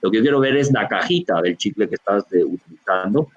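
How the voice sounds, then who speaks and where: A gastroenterologist from Colombia with a Barranquilla accent, explaining a medical answer over a video call, with frequent fillers and restarts. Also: male voice, lowish voice, animated, fast talker. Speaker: gastroenterologist lecturer. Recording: dull lo-fi video call audio.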